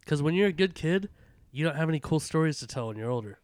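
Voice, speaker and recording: Man with a cold-roughened voice. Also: fairly high pitch, lively intonation, fast, a little mellow. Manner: rambling, self-interrupting, jokey. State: getting over a cold, raspy. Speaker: podcast co-host. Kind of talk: casual chat about movies.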